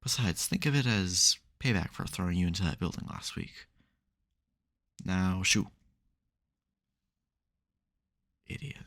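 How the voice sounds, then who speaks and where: A man playing a supervillain nemesis who is secretly soft, teasing dryly and getting flustered in an intimate audio roleplay. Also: deep voice, animated, normal speed. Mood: teasing, bossy. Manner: dry teasing, hesitant, mock-grudging.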